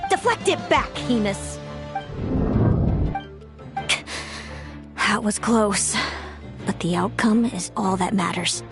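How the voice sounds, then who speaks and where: A woman's voice: medium pitch, very animated, measured pace, gravelly. A woman voicing a mysterious, bitter young female rival in a game, cool and secretive, over music. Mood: commanding.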